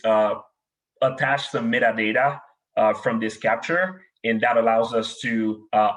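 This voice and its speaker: A man with a Haitian accent, native in French and Creole, giving a technical webinar talk and answering questions, with many filler pauses. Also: mid-range voice, fairly bright tone, rough recording. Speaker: webinar speaker. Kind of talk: technical presentation and Q&A.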